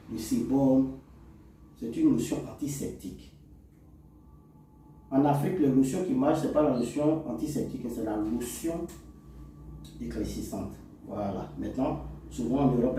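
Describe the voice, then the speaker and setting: A man's voice: mid-range voice, dark tone, a little hiss or hum. A man with an African French accent explaining products in an informal, didactic lesson with emphatic delivery. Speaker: cosmetics chemist and instructor. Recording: echoey room, distant microphone.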